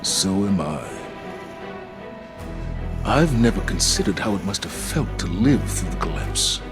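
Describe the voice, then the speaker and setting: A stern, guarded commander in a video game, his somewhat low voice measured, grave and formal. He weighs every word, with a film score swelling under him. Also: very animated. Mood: solemn. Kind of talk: game cutscene acting. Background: music score.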